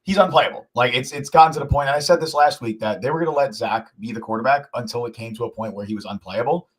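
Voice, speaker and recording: A man with a hoarse voice co-hosting a sports podcast, talking fast and emphatically and repeating himself to hammer his points home. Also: mid-range voice. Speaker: podcast co-host.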